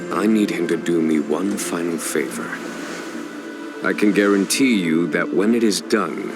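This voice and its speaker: A grave ageless general. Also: male voice, sits low. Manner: solemn, measured, formal speech. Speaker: military general of a starship. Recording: game dialogue over loud music.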